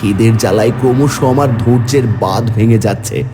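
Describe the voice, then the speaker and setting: A man's voice: normal speed, noisy background, close to an everyday voice. A man playing a village man who tells his own horror story dramatically in a cartoon. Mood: grave narration. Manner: theatrical, rising into high pleading. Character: frightened, hungry village man telling his ghost tale. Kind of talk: dramatic first-person horror story narration.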